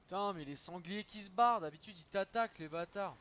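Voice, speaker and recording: A man's voice: high-pitched, a little fast, fairly bright tone. A young man streaming a game, chatting casually and swearing freely in slangy talk. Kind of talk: casual gaming chat.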